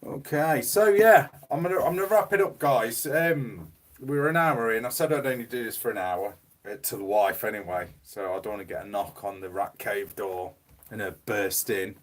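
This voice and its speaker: Male streamer, fairly low voice, hoarse and fading, loose rambling phone-mic chat. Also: moderate pace. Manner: rambling, repeats words. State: losing his voice, coughing. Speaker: livestream host. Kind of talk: casual livestream chat reading comments. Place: small home room. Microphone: mobile phone.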